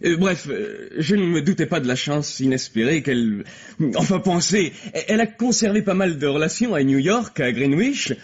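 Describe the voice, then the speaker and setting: An actor playing an eager, nervous, self-absorbed writer in a vintage radio mystery, stammering and hesitant. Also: male voice, a little high, lively intonation, normal speed, slightly rough. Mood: eager, chatty. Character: nervous, eager, self-absorbed struggling young male playwright. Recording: dull, lo-fi old radio recording.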